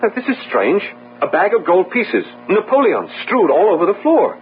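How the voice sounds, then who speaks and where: Animated, anxious young policeman. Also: sits high. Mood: baffled, urgent. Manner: urgent, halting, melodramatic. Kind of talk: old-time radio drama acting. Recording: noisy, narrow-band old radio recording.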